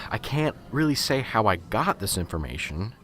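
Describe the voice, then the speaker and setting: A man's voice: lowish voice, sing-song, moderate pace, fairly bright tone. A man voicing a nervous, unsure male professor in an amateur radio drama, stammering and hesitant. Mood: jittery. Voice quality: stammering, hesitant, fumbling for words. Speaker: professor bringing a lead.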